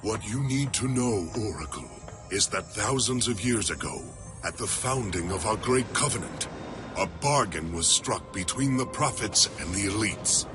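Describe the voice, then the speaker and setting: Alien warrior commander, voiced by a man, very deep and grave, expressive range, loud score under. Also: over music, natural voice. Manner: solemn, commanding, measured. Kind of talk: video game cutscene acting. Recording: game audio with loud score.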